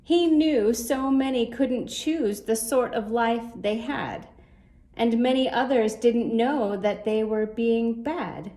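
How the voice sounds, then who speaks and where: A woman reading a rhyming children's story aloud in a measured, expressive way. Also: a little high. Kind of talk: scripted storybook narration in rhyme.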